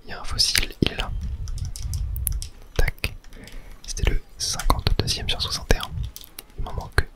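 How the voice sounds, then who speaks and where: A man's voice: moderate pace, long pauses. Whispering man, ASMR gaming. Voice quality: breathy unvoiced whisper. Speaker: gaming video host. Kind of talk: whispered ASMR gameplay commentary.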